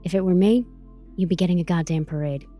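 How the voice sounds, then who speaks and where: A woman's voice: lowish voice, fast talker, dark tone, soft background music, close to an everyday voice. A woman playing a tough, protective advocate in an audio drama, blunt, slangy and profane. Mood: admiring, firm. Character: tough, brassy, protective woman advocate coaching her client.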